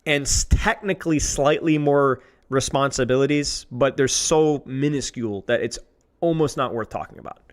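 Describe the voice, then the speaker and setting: A man's voice: medium pitch, moderate pace. A man streaming live, chatting casually to his viewers in an exasperated, self-mocking, rambling way.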